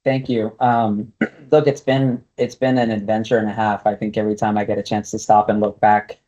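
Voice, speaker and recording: Mellow-voiced man in a thoughtful interview. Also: fairly low pitch, flat delivery, moderate pace, dull audio. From United States (Brooklyn, New York), raised partly in Guatemala. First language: Spanish. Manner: thoughtful, many fillers and restarts. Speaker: casino executive, guest. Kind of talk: conversational interview answers.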